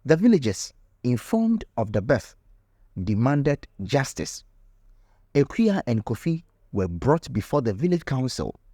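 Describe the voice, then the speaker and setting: A man narrating a scripted folktale in a measured, solemn and theatrical manner. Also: mid-range voice, very animated, warm tone, breathy edge, no echo, clean studio sound. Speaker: storyteller and channel host.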